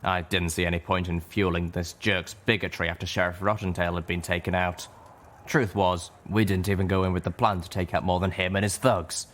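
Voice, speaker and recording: A man's voice: sits low, flat delivery, fairly quick, a bit bright. A man voicing a proud, tough female griffin mercenary leader in a story reading. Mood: defensive.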